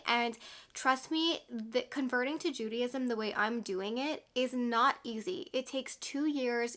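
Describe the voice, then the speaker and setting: Bright-voiced young woman vlogger. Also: on the high side. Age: 20s. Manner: chatty and candid, many "like" fillers. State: slightly congested from a cold. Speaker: YouTube vlogger. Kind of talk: casual sit-down chat to camera.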